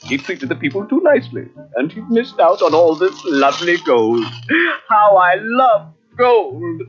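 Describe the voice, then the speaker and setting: A man's voice: sits high, very animated, a little slow. A man playing a greedy, pompous prince in a children's storybook, theatrical and haughty, drawing out words. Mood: gloating. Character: greedy, gloating, pompous male animal prince. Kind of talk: children's cartoon storybook acting. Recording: dull cassette, faint music behind.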